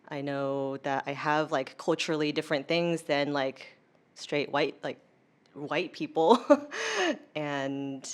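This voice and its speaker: Low-voiced young American woman chatting casually. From United States. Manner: chatty, informal, heavy use of "like". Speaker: interview guest. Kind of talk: casual conversational interview.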